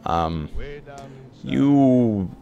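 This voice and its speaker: A man playing in a casual tabletop roleplay, speaking in a hesitant, halting way as he improvises. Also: medium pitch, animated, vocal fry, mellow, dull audio, faint music behind. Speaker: roleplay player.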